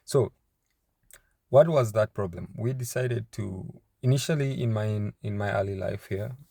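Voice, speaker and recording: Young guy from uganda, low gravelly voice, lots of uhs and restarts, dry close sound. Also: mellow, dry recording. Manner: halting, many fillers and restarts. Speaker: startup founder. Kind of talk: casual personal introduction to camera.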